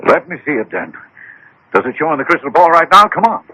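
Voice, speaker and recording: An actor playing a headstrong, agitated gentleman in a radio drama, speaking insistently with dramatic, halting exclamations. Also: fairly high pitch, big pitch swings. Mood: demanding, impatient. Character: headstrong, agitated gentleman demanding to know his fate. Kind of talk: 1970s-80s radio drama acting. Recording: old radio broadcast, faint noise.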